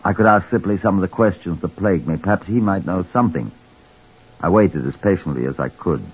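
A man's voice: low-pitched, a little fast, husky. A man playing a shrewd police chief inspector who narrates wryly, in 1940s radio acting style. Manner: wry, reflective narration. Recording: lo-fi vintage radio recording.

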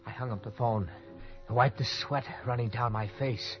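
A man's voice: medium pitch, steady tone, normal speed, lightly acted. An actor playing a panicked young man who narrates his own desperate cover-up in old-time radio suspense style, tense and stammering when pressed. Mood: tense narrating. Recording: dull old radio recording, loud music.

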